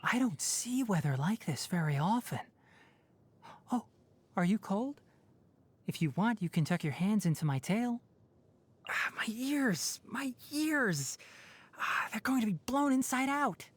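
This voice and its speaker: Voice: mid-range voice, very animated, a little slow, pauses often, husky. An actor voicing a young male fox-eared forest ranger in a video game, articulate and scholarly with a dry, wry delivery. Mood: calm, friendly, then pained, complaining. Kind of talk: scripted game character voice lines.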